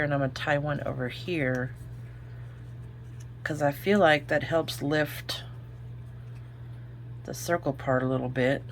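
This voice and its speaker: A woman's voice: deep voice, a little hiss or hum. A woman with an Oklahoma accent, chatting her way through a crafting tutorial and thinking aloud as she works. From Oklahoma, United States. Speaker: craft video host.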